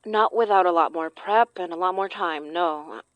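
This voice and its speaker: Actress as a laid-back magic practitioner, dry sound. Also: female voice, lowish voice, normal speed, no room sound, highs cut off, close to an everyday voice. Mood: musing, helpful. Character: seasoned, casual woman who practises protective magic. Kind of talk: naturalistic audio drama acting.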